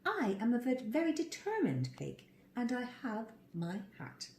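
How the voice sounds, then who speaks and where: Woman playing an excitable, determined little boy piglet, echoey. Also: on the high side, measured pace, full character voice. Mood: proud, determined.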